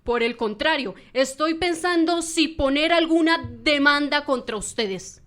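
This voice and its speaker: A woman's voice: high-pitched, animated, measured pace, fairly bright tone. A woman acting as a formal, declamatory, indignant lodger in an amateur radio drama. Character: indignant, formal male lodger renting a room, later alarmed maid.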